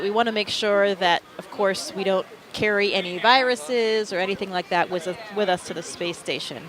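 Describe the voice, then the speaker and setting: An enthusiastic launch commentator who speaks Swedish talks over a live broadcast feed. A murmuring crowd clearly fills the background, and the voice sits at a middle pitch. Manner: enthusiastic, explanatory.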